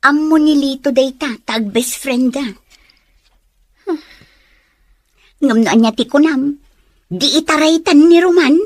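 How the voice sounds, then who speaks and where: A woman's voice: high-pitched, unhurried, long pauses, dry recording. A woman playing a young confidante in a radio drama, frank and questioning with her friend. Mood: cautioning.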